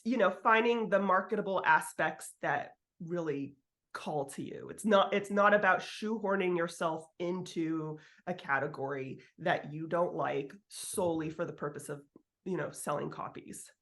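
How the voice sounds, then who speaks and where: A woman's voice: on the low side, moderate pace, fairly bright tone. A woman chatting informally as an interview guest, giving writing advice in a candid way with the occasional swear word. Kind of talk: casual interview chat.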